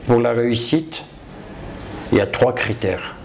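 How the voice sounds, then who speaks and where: A man's voice: mid-range voice, unhurried, gravelly, a little mellow, a little hiss or hum. A man from Côte d'Ivoire, telling his own story emphatically as an interview guest. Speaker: interview guest, self-taught property developer.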